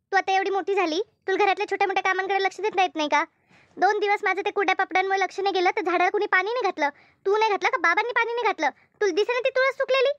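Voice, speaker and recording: Woman acting a very shrill village mother, nagging. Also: fairly bright tone, dry recording, muffled recording. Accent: rural Maharashtra Marathi. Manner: nagging, full of rhetorical questions. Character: exasperated village mother scolding her household. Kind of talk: amateur Marathi cartoon dubbing.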